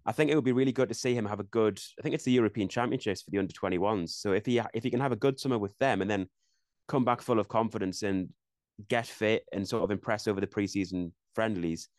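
A young Englishman with a low voice rattles through his opinions at a fast clip, doubling back and restarting as he thinks aloud. He is co-hosting a casual football chat. The sound is dry and close, with almost no room tone. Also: darkish tone, no room sound. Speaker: podcast co-host.

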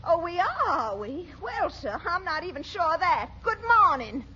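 Haughty, high bright fiancée. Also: female voice, sing-song, moderate pace, full character voice. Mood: irritated, dismissive. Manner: haughty, scolding, emphatic. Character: haughty, exasperated fiancée turning away her suitor. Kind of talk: 1940s radio comedy acting. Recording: muffled, noisy old radio recording.

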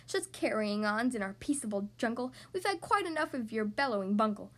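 A woman's voice: high voice, lively intonation, normal speed, fairly bright tone. A woman voicing a big, haughty female kangaroo in a storybook, scolding and scornful.

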